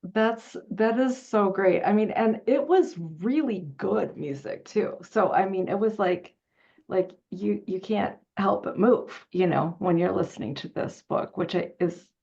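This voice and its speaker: Female interview host, effusive and conversational, with gravelly fry on dull audio. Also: mid-range voice, a little slow, dark tone. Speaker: panel host. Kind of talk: enthusiastic interview chat.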